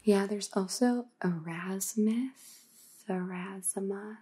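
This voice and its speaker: A woman's voice: medium pitch, slow, warm tone, slight background noise, lightly acted. A woman playing a snarky, dismissive modern young vampire, casual and sarcastic, in a whispered ASMR roleplay.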